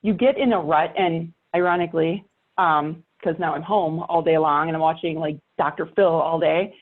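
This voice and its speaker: A woman's voice: sits low, fairly even intonation, moderate pace, narrow, tinny audio. A woman in casual, self-deprecating conversation. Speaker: podcast participant. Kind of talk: casual chat.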